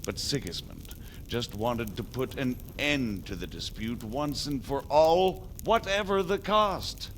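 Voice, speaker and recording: A man playing a measured, diplomatic medieval margrave in a video game, speaking formally and deliberately. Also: medium pitch, expressive, a little hiss or hum. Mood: grave, explanatory. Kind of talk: dramatic video game acting.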